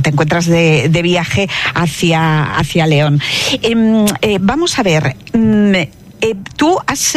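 Hesitant woman radio interviewer. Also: fairly low pitch. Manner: hesitant, lots of "eh" and "mm".

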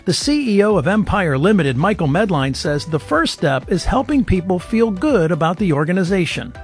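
A man's voice: high voice, sing-song, moderate pace, breathy edge, faint music behind. A man presenting a short scripted business spot. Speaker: podcast host. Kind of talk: scripted radio promo.

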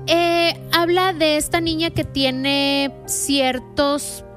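A high-pitched female voice with a Mexican accent talks through a series review in a chatty, explanatory way. She sounds like a panelist in an informal conversation, with faint music underneath. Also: fairly even intonation, extremely slow, a bit bright. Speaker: entertainment review show panelist. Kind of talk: casual series review chat.

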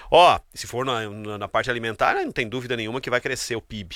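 A man's voice: medium pitch, fast, fairly bright tone, no echo, studio quality. A man with a Brazilian accent hosting a casual sports chat on the radio. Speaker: radio sports show host.

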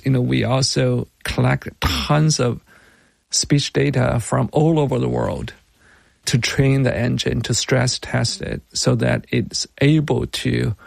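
A middle-aged man from China with a Chinese accent, a non-native English speaker explaining his work as a tech founder in an interview, with halting, deliberate phrasing. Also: medium pitch, gravelly, no echo. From Beijing, China.

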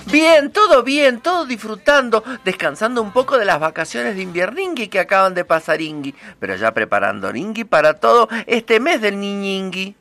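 A man's voice: sits high, big pitch swings, normal speed, hardly any pauses, pushed and bright, exaggerated voice. A man voicing a flamboyant diva princess who tacks silly endings onto his words, in a comedic character bit. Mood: camp chatty. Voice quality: sing-song, adds "-ingui" to words. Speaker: guest character in a radio show.

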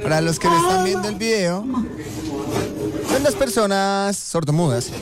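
A man with a Colombian accent hosting a comic radio show, bantering in a teasing, excitable way. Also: high voice, normal speed, over a noisy crowd. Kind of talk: comic banter, reacting to videos. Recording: loud music under the talk. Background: music.

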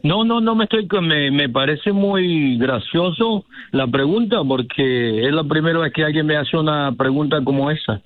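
A man's voice: fairly high pitch, moderate pace, hardly any pauses, no echo. Middle-aged man on a dull phone line. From Mexico. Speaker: electrician, prank call victim. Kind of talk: phone conversation. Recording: dull, band-limited phone line on radio.

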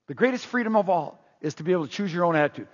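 A middle-aged man preaches with a high, husky voice, talking casually with the congregation and tossing them questions. His voice rings out in a long hall reverb, and the recording sounds dull and muffled. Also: expressive, racing. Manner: conversational, asks the congregation questions. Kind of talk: sermon.

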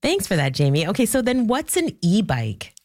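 Low-voiced Canadian woman hosting, thick with a cold. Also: wildly swooping pitch, normal speed, darkish tone, no echo, clean studio sound. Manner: conversational, addresses listeners directly. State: has a cold, voice lowered. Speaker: radio phone-in host. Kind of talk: hosting a live call-in discussion.

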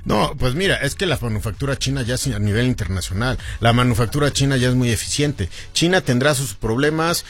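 A man's voice: mid-range voice, expressive, brisk, few pauses. A man, an automotive commentator co-hosting a car talk show, laying out his arguments with examples. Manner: explanatory, lays out arguments with examples.

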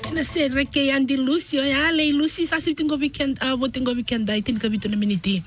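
A woman's voice: high voice, steady tone, fast talker, loud music behind the voice. A woman interviewing a guest informally on radio, a little hesitant as she puts her questions.

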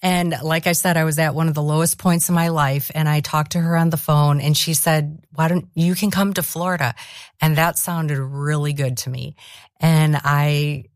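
A middle-aged woman with a notably low voice tells her personal faith story, earnest and reflective, laughing easily. She grew up in South Korea. The sound is close and dry. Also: no echo. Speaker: interview guest, missionary author. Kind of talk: personal testimony in a faith interview.

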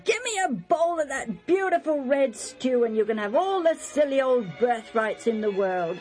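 A woman voicing a rough, impatient, starving young male hunter, loud and blustering, in a Bible story. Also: high voice, lively intonation, moderate pace, faint music behind, pitched above the performer's own voice. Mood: impatient, scornful.

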